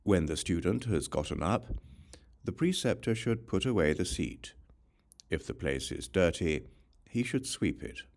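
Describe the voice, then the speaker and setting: A man reading a religious text as an audiobook narrator, in measured, instructional short phrases. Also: deep voice, expressive, creaky.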